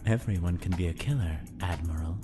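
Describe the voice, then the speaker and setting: A man's voice: a little fast, close to an everyday voice. An actor playing a cruel, mocking male prisoner in a fan audio drama, with a theatrical, taunting manner. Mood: menacing. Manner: sneering, theatrical, lively pitch. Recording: music playing underneath.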